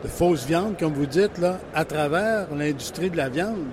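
A man's voice: on the high side, a little slow, background noise. A man with a Quebec French accent, a reporter narrating and asking friendly questions in a news interview.

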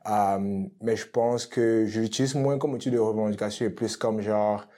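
A man from Cameroon talks things through in a relaxed interview, his voice sitting low and sounding dark and mellow. He often pauses on fillers and restarts as he searches for his words. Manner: thoughtful, many hesitant fillers and restarts. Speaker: artist guest. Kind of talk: casual interview conversation.

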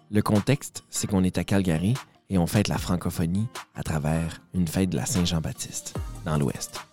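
A man with a Québécois accent hosting a casual interview, friendly and quick with interjections. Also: sits low, mellow. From Quebec. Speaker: interviewer, host. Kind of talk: casual interview, presenting to camera. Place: festival backstage.

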